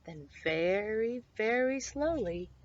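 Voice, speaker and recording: A woman reading a children's picture book aloud expressively, with dramatic, drawn-out emphasis on key words. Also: a little high, expressive. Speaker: story time reader. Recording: dull lo-fi sound.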